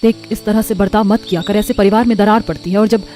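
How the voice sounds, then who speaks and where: Gentle peacemaker sister-in-law, voiced by a woman for cartoon family drama, mid pitch. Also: steady tone, fast talker, soft background music, close to an everyday voice. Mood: soft, soothing. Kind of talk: acted cartoon story dialogue.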